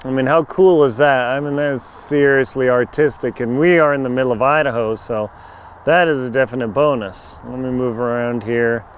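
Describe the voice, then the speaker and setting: A middle-aged man, a bit hoarse, chatting wryly to a handheld camera outdoors at a farm, with jokey asides. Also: mid-range voice, fairly even intonation, normal speed, slight background noise. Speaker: travel vlogger. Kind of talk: casual handheld travel vlog. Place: outdoors on a rural farm lot. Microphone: handheld camera mic at arm's length.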